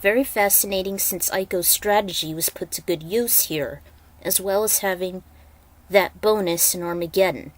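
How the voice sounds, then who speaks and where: A woman's voice: lowish voice, normal speed. A woman reading as author and narrator, in a dramatic storytelling style that loosens into casual asides. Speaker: fan fiction author and narrator.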